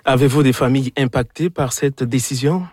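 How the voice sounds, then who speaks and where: Man, interviewer, husky voice, dry sound, formal questions, careful phrasing. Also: medium pitch, a little fast, darkish tone, no room sound.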